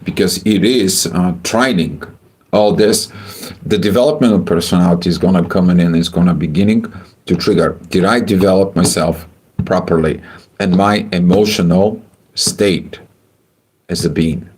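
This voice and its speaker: A man from Bulgaria, hosting a livestream astrology forecast in a halting way with many filler sounds. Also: deep voice, a little mellow.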